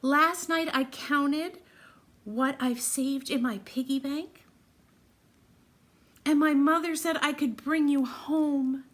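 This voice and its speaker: A woman's voice: sits high, fairly even intonation, slow pace, pauses often, voice pushed up. A woman voicing a sweet, affectionate little girl in a children's storybook, speaking in an earnest, polite way. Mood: eager, loving.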